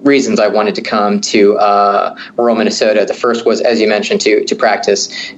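A middle-aged family physician from Kenya answering interview questions in a measured, explanatory way. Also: male voice, on the low side, little pitch movement, highs cut off, slight background noise.

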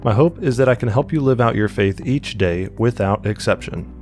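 A man's voice: fairly low pitch, normal speed, darkish tone, faint music behind. Congested young man hosting a devotional podcast. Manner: earnest, with frequent restarts and repeated words. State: congested from a cold. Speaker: podcast host. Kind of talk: solo devotional podcast talk.

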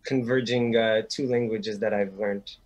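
A young man answering interview questions, halting and repeating words as he gets going. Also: fairly low pitch, steady tone. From Sweden, raised in Montreal. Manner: hesitant, repeats words as he starts. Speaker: guest, rabbinic student.

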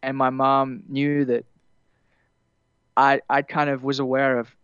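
A young man with an Australian accent softened by years in America, chatting loosely in an interview with plenty of fillers and restarts. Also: medium pitch, flat delivery, measured pace, smooth, dry recording, muffled recording. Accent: Australian, partly Americanized. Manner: rambling, many fillers and restarts, laughs easily. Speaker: musician guest. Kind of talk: casual interview chat.

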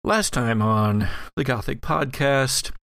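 A man's voice: mid-range voice, takes it slowly, creaky, fairly bright tone, dry recording, clean studio sound. A man speaking as host and game master of an actual-play podcast. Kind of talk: reading and casual chat on an actual-play podcast.

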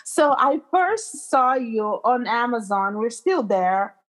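Woman, interview host, effusive, gushing, somewhat high voice, casual questions. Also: sing-song, slow pace, rough recording.

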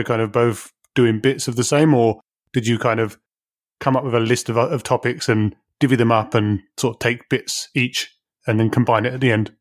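A man's voice: mid-range voice, no room sound, clean studio sound. A man hosting an interview, asking long conversational questions with light jokes and fillers. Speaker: podcast host.

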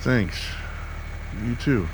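A man's voice: mid-range voice, extremely slow. An actor playing an anxious, wisecracking young man on the run in a radio play, questioning and exasperated. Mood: subdued, worried. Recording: heavy background vehicle noise.

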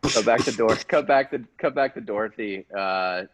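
A man casually setting up improvised comedy scenes, halting and repeating phrases as he goes. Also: on the low side, poor audio.

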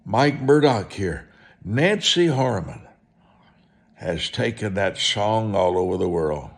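A male preacher with a very deep voice delivers a personal testimony. He speaks slowly and deliberately, in a folksy way, leaning on repeated phrases to drive each point home. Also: animated. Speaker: preacher, evangelist.

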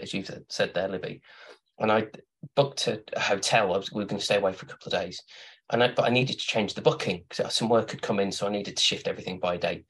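A man with a low, husky voice hosting a relaxed podcast. He rambles through playful anecdotes, full of restarts and asides, in an easy, chatty way. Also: low-quality recording. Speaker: podcast host. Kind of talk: casual chat.

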